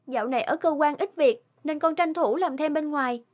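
Very high-pitched sorrowful young mother played by a woman. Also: fairly quick, close to an everyday voice. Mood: worried. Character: sorrowful, devoted grown daughter, a working mother. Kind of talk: animated morality tale dubbing. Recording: music behind the voice.

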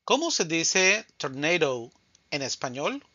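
Slow, deliberate male Spanish teacher. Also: on the high side, big pitch swings, pushed and bright, no echo, dull audio. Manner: slow, deliberate, says each answer twice. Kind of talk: scripted vocabulary drill.

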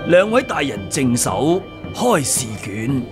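A man's voice: mid-range voice, very animated, slow pace, over loud music. A man with a Hong Kong Cantonese accent playing a deferential, superstitious exam hall attendant in a radio drama, respectful and obsequious.

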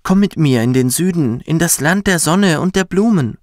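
A man voicing a kindly, grateful swallow in a children's storybook, speaking earnestly and gently. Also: on the high side, lively intonation, moderate pace, no room sound, clean studio sound, pitched above the performer's own voice, lightly acted. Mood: inviting. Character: grateful, kindly swallow, eager to fly south.

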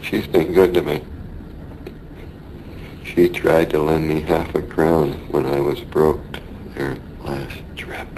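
Dying American seaman for radio play: deep, dark-toned, weary, halting, in pain. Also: little pitch movement, rough recording. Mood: weak, tender. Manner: halting, labored, brooding delivery. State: weak, in pain. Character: dying American sailor, weary, wistful, afraid. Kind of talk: radio drama acting. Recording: faint noise behind a radio play.

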